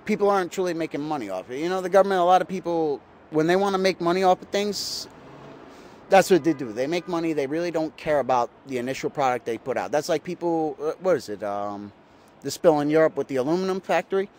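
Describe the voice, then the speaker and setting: A man's voice: a little high, expressive, quick pace, a little mellow, slight background noise. A man interviewed on a city street, rambling through his opinion and mimicking others mockingly. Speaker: passer-by interviewee.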